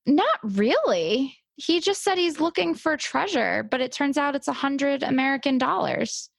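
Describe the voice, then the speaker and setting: A woman podcast host with a high voice and noticeable vocal fry. She talks casually with lively intonation in a dry recording. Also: a little slow, no room sound. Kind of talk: casual chat, light improv.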